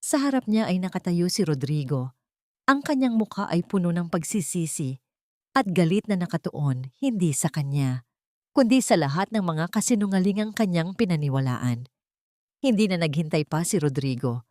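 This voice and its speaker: A woman's voice: on the low side, expressive, normal speed, mellow, no room sound, studio quality. A woman reading a dramatic scripted story as an audiobook narrator.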